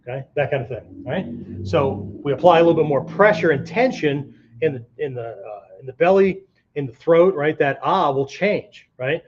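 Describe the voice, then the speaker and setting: A man's voice: a little high, lively intonation, normal speed, lo-fi sound, a little hiss or hum. A man giving an informal martial arts lesson in an emphatic, conversational way, often checking in with the listener. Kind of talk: informal spoken lesson with vocal demonstrations.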